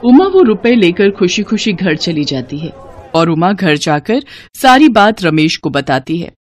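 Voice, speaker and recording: A woman's voice: fairly low pitch, big pitch swings, unhurried, some chatter behind. A woman narrator reading a scripted moral bedtime story for children.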